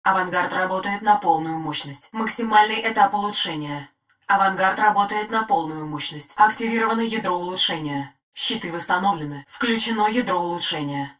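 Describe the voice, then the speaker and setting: A woman voicing a calm, dutiful female war-machine AI in a video game, giving terse military status reports in a crisp, even, measured way. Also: medium pitch, lightly acted. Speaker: titan AI voice. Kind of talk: game voice lines. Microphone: studio mic.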